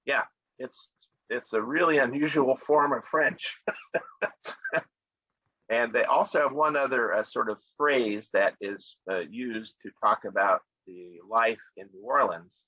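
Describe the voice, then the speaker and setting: An American man, middle-aged, giving a relaxed, friendly talk on travel spots to an online English conversation club over a video call. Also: mid-range voice, takes it slowly, dry recording. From United States. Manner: relaxed and friendly, speaks clearly with fillers and laughs. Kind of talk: casual presentation on travel spots. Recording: dull lo-fi video call audio.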